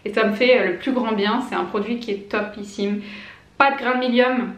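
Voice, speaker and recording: A woman's voice: fairly high pitch, steady tone, a little fast, reverberant, low-quality recording. A woman in her late twenties chatting enthusiastically to camera as a beauty vlogger, with lots of fillers and restarts. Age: late 20s. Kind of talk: casual product review to camera.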